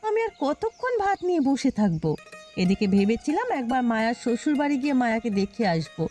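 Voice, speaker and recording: A woman's voice: a little high, big pitch swings, fast talker, mellow, soft background music. A woman voicing a quarrelsome, suspicious village wife in a cartoon, with a scolding, accusing manner. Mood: annoyed. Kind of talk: cartoon dialogue acting.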